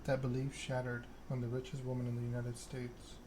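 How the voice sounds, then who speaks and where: A man plays a proud, scheming game vampire, delivering a self-important monologue in ASMR style. The pitch is middling and very level, and clear noise sits behind the voice. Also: monotone, brisk, lightly acted. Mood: brooding, fatalistic. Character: proud, scheming vampire aristocrat telling their story. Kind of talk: ASMR read-aloud of game dialogue.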